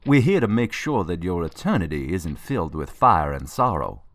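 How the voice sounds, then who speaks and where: An actor playing an earnest, pious and cautious preacher in an audio drama, given to moralising. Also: male voice, sits low, very animated, moderate pace, fairly bright tone, no echo, full character voice.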